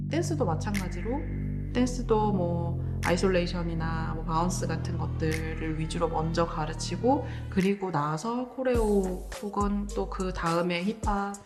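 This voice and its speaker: Female trainer, mid-range voice, rapid-fire interview explanation over music. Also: on the low side.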